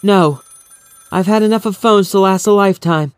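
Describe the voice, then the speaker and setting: A man's voice: high voice, normal speed, smooth, dry recording, pitched above the performer's own voice, close to an everyday voice. A man voicing a weary, frightened mother in a horror story, pleading and tender. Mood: tense, tender.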